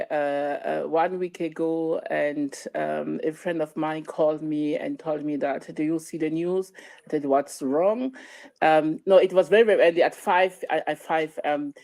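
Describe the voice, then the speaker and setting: A middle-aged woman from Cameroon answering interview questions conversationally, with hesitant, halting phrasing. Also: low-pitched, darkish tone, dry recording. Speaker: interview guest, chairwoman of a diaspora association.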